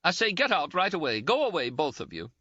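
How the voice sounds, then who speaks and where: A man putting on a shrill, sobbing young nurse. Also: sing-song, a little fast, bright, forceful tone, slightly rough, no echo, dull audio, heavily acted. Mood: distraught. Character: tearful scolding Scottish nurse, young woman. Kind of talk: audiobook character voice.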